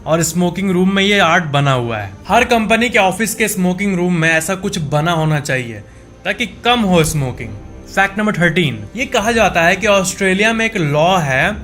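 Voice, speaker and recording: A man's voice: high-pitched, animated, moderate pace, a bit bright. A man with an Indian accent presents facts in a conversational explanatory style, mixing in English words and posing questions that he then answers. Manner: mixes English words and phrases into speech. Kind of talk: explanatory narration in countdown format. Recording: music bed under the voice. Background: background music.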